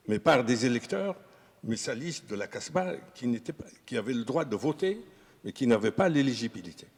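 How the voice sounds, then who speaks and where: A man speaking as a historian in an unscripted discussion, talking haltingly with many fillers and restarts. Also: mid-range voice, breathy edge. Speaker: historian guest. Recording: reverberant room.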